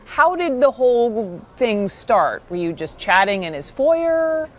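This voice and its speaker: A woman's voice: on the high side, very animated, slow. A woman working as an interviewer, asking conversational questions and prompting an account. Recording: faintly noisy interview clip.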